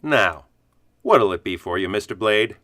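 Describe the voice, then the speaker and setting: A man playing a bright, fatherly bartender. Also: on the low side, expressive, a little slow, no echo, studio quality. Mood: shrewd, teasing. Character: gregarious fatherly male bartender to superheroes, wry and worldly. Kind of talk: comic radio play acting.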